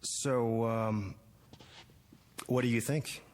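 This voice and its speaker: An actor playing a polite, hesitant young American gardener in a radio drama. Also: male voice, medium pitch, steady tone, extremely slow, darkish tone, big-room sound. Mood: polite, earnest. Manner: polite, tentative.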